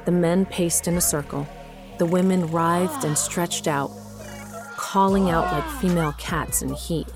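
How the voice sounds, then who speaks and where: A woman's voice: on the low side, steady tone, unhurried, over music. A woman narrating a scripted audio drama as its storyteller.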